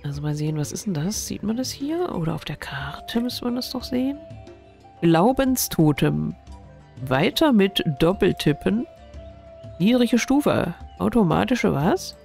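A woman's voice: mid-range voice, big pitch swings, a little slow, vocal fry, a little mellow, faint music behind. Stuffy-nosed woman thinking aloud over a game. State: stuffy nose from a cold. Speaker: gaming streamer. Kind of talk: casual game commentary.

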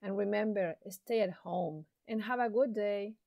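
A woman teaching an English lesson to secondary students, speaking slowly and deliberately with an encouraging classroom manner. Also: mid-range voice, gravelly, dry recording, highs cut off. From Chile. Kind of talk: slow recorded classroom lesson.

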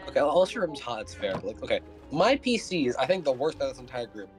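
A man's voice: medium pitch, very animated, fast, soft background music. A young man chatting over a game, rambling and repeating himself as he tells a story. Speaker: fellow player. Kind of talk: casual gaming chat.

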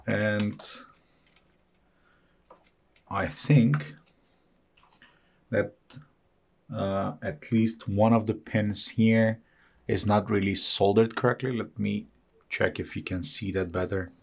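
A man hosting an electronics repair video, walking through a teardown at an unhurried pace and thinking aloud as he goes. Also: fairly low pitch. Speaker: electronics repair channel host. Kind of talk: hands-on repair walkthrough.

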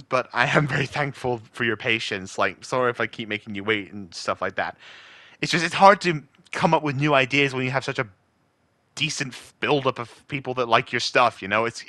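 A British man talks casually to his followers with a bright, forward voice. The sound is close and dry, and his delivery is chatty and halting, with an apologetic streak. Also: medium pitch, no echo. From Britain. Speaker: amateur voice impressionist.

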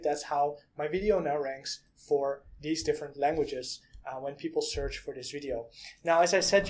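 A man in his thirties talks through a software demo in plain, steady steps, pausing often on "uh" and "um". His voice sits a touch high for a man. He records in a small room on a desk webcam. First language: Dutch. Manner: explanatory, step-by-step, with frequent "uh" and "um". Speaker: product reviewer. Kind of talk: screen-share software walkthrough.

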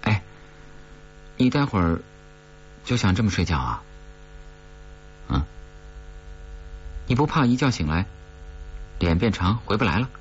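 A coaxing, gently scolding wife in an audiobook, acted out by a male narrator. The voice is low and colloquial, on a dull recording with faint hum. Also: sing-song, unhurried, long pauses. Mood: teasing.

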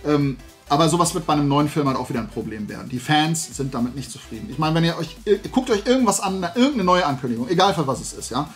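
A man in his forties with a fairly high-pitched voice talks as the host of a casual show. He gives his opinions in a colloquial, animated rant. Music plays behind him as he speaks from a desk indoors. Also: fast. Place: small room at home, indoors, at a desk.

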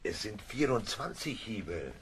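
Deep-voiced, uneasy boatswain's mate. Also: male voice, sing-song, a little slow, a little hiss or hum. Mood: anxious. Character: anxious, subordinate boatswain's mate on a sailing ship. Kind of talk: audio drama acting.

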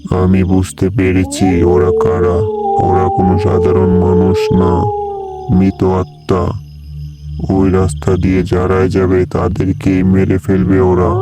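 A man's voice: sits low, pitched below the performer's own voice, exaggerated voice. A man voicing a stern village exorcist in a horror cartoon, with a commanding, theatrical delivery. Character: stern male village exorcist chanting against spirits. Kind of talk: animated horror story acting. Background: loud music.

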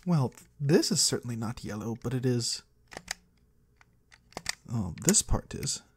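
A man playing a mysterious, courteous disembodied trader of the void in a soft-spoken ASMR roleplay, husky and breathy, slow and gently curious. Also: mid-range voice, animated, slight background noise, close to an everyday voice. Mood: curious, pleased. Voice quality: husky, breathy, sometimes whispering. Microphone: close, dry microphone.

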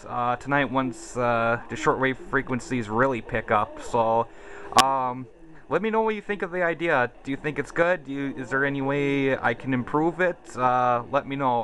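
A man's voice: medium pitch, bright, forceful tone. A man giving a casual, unscripted hobby demonstration in a hesitant, self-deprecating way, indoors on a handheld camera. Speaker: hobbyist video maker. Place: indoors in a carpeted room at home. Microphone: handheld camera mic. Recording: noisy home camera recording, radio static.

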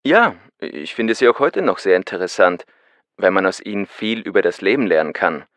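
An earnest radio caller, very low voice, thoughtful, dry studio, scripted lesson audio. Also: male voice, lively intonation, moderate pace, fairly bright tone, no room sound. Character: man caller who loves books. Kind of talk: scripted dialogue.

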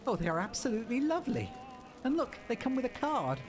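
A man's voice: very high-pitched, big pitch swings, fast, soft background music, voice pushed up. A man doing a polite young lover, lifted voice.

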